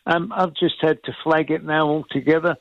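An older Scottish man calls in, his voice sitting a little high for a man. He talks earnestly and haltingly over a dull, lo-fi phone line, and the sound is dry and close. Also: fairly even intonation, gravelly, dry recording. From Scotland. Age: 70s. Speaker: caller. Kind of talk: talk radio call.

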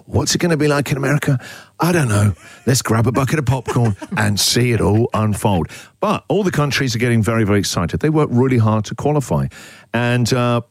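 A man with an Australian accent hosting a breakfast radio show, talking in energetic, jokey banter. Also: mid-range voice, dry recording. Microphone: studio mic.

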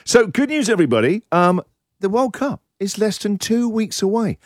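A man hosting a breakfast radio show, talking in an excitable, emphatic, rambling way with lively banter. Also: high-pitched, normal speed, dry recording, clean studio sound. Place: radio studio.

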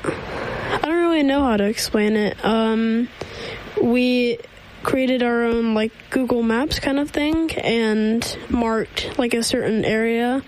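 A woman's voice: a little high, slow, a little mellow, slight background noise, low-quality recording. A teenage girl answering interview questions on the radio, hesitant with many ums. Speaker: student guest.

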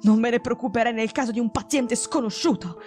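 A woman's voice: a little high, fairly quick, a little mellow, soft background music, natural voice. A woman voicing a loyal male doctor in an audiobook, earnest, caring and protesting. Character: loyal, concerned male doctor, old friend of patient.

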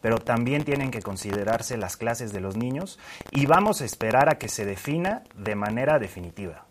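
A male news reporter with a medium-pitched voice. He relays statements precisely and matter-of-factly, over faint background noise. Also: expressive, normal speed. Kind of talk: reporting and reading out quotes.

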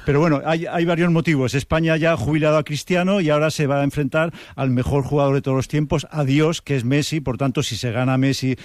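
Male commentator, quick delivery, slightly high voice, wry and emphatic, dry studio sound. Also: steady tone, dry recording. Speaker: radio show commentator. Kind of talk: opinionated conversational commentary.

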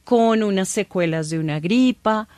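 A woman doctor, calmly explaining things to a host in an interview, using everyday examples. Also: mid-range voice, unhurried, no room sound. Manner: calm, patient, explains plainly with everyday examples. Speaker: doctor, guest expert.

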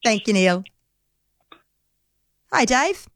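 A woman's voice: fairly high pitch, expressive, very slow, a bit bright, no echo, studio quality. A woman with a New Zealand accent hosting talkback radio, chatty and agreeable, laughing easily with callers. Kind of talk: casual radio chat with callers. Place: radio studio.

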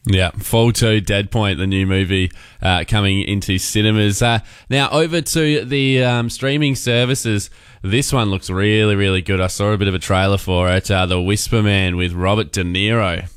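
A man with an Australian accent hosting a casual radio chat, easygoing and quick to laugh. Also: fairly low pitch, fairly even intonation, smooth, no room sound, studio quality. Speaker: radio host.

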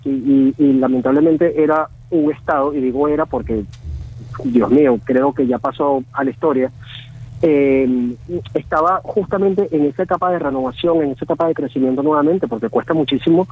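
A man in his thirties with a Venezuelan accent, a guest on the phone, talking haltingly with many fillers and restarts. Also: on the high side, breathy edge, dry recording. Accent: Venezuelan Spanish. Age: 30s. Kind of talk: phone interview. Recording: tinny phone line.

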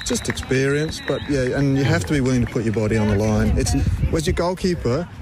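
A middle-aged man from Africa, a guest talking in a relaxed, hesitant way with many pauses. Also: mid-range voice, music in the background. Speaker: interview guest, amateur goalkeeper. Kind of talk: casual chat. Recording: loud outdoor street noise.

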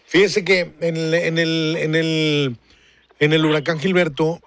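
A man's voice: fairly high pitch, little pitch movement, measured pace, a bit bright, slight background noise. A man in his forties hosting a radio show, chatting casually in a friendly way, rambling and repeating himself. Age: 40s. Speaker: radio host.

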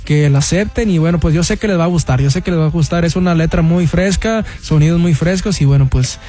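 A young singer from Houston with Mexican roots, chatting in an interview and filling pauses with "este" and "¿cómo se dice?". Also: male voice, a little high, mellow. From Houston, Texas, with Mexican roots. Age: young adult. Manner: hesitant, many fillers like "este, de" and "¿cómo se dice?". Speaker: singer guest. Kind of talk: casual interview.

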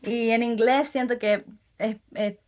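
Young woman, gentle interview guest, unhurried and reflective. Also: a little high, fairly even intonation. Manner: soft-spoken, thoughtful, with frequent pauses and fillers. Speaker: musician guest. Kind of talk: relaxed interview answers.

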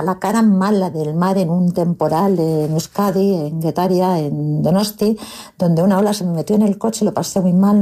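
Woman from madrid, reflective, unhurried, mellow low voice. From Madrid, Spain. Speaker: listener sending a voice message. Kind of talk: reminiscing voice message.

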